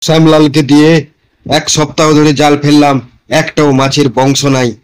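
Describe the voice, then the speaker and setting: A man's voice: on the high side, flat delivery, normal speed, clear, resonant tone, natural voice, low-quality recording. A man voicing a stern, angry landlord in a cartoon, scolding and demanding. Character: stern, angry village landlord, a male boss. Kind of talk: cartoon dialogue.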